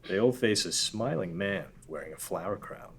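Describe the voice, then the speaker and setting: A man reading out scene directions in an even, matter-of-fact way at a table read. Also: fairly low pitch, normal speed, vocal fry, slight background noise.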